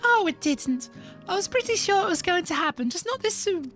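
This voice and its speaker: A streamer voicing a chatty young female adventurer in a game playthrough, talking quickly and upbeat with little stammers. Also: very high-pitched, very animated, fairly bright tone, faint music behind, pitched above the performer's own voice, exaggerated voice.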